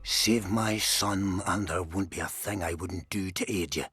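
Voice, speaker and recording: Bitter, forceful soldier father. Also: low-pitched, steady tone, unhurried, breathy edge, exaggerated voice. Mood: despairing. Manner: bitter, fatalistic. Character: bitter, despairing middle-aged soldier father pleading for son. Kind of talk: video game voice acting. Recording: music behind the voice.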